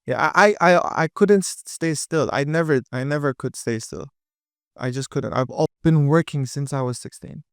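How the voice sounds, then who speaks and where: A lively young man from with a crisp voice. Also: a little high, no echo, studio quality. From Dubai. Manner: energetic, quick, with many fillers and restarts. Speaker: podcast guest, entrepreneur. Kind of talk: casual interview chat.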